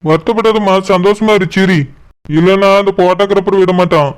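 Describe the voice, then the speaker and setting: A man's voice: high voice, steady tone, brisk, clean tone, natural voice. A man playing a curt young bridegroom in a comic village cartoon, with a Kanyakumari Tamil accent. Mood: reassuring.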